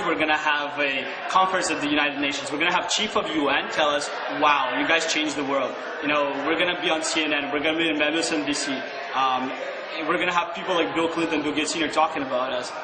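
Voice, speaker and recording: A young man from Russia, telling his story in an interview with energetic enthusiasm. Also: on the high side, echoey room, very noisy. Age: young adult. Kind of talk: casual interview answers.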